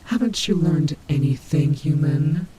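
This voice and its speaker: A woman's voice: low-pitched, dark tone, slight background noise, rough recording. A woman voicing a menacing, taunting nightmare animatronic rabbit in a scripted audio roleplay, with a mocking, theatrical delivery. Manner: slow, mocking, theatrical drawl with whispered asides.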